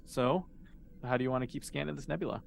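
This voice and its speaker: A man's voice: mid-range voice, fast, gravelly. A man acting as game master, casually improvising narration for a tabletop role-playing game and thinking aloud as he goes.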